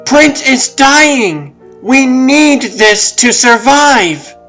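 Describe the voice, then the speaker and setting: A man's voice: extremely high pitch, lively intonation, very slow, bright, forceful tone, soft background music, full character voice. A man voicing a confident, ambitious woman reporter in a comic book dub, with an insistent, emphatic delivery. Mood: exasperated, insistent. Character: confident, ambitious adult woman reporter arguing her case.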